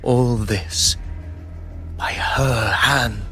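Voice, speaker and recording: Suspicious guardian, sharp voice, formal restrained delivery, video game drama, faint music. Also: male voice, medium pitch, extremely slow. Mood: stern. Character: stern, dutiful, suspicious male guardian.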